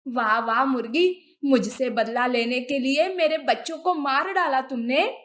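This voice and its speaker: Shrewd cartoon she-cat, played by a woman: high voice, unhurried, firm and reproving. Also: fairly bright tone. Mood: indignant. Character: proud, shrewd female cat, a mother. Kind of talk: animated children's moral story.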